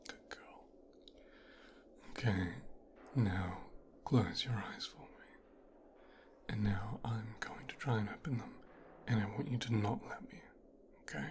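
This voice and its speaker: A man performing an ASMR roleplay as a teasing, commanding mafia boss's son, speaking softly and often whispering close to the microphone. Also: lowish voice, unhurried, long pauses, slight background noise. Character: mafia boss's son giving a teasing, domineering medical exam.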